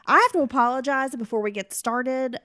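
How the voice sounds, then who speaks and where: A woman's voice: high voice, brisk, sharp tone. Stuffy-nosed woman narrating true crime. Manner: reads case details, chats between. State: stuffy, congested from a cold. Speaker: podcast co-host narrating the case.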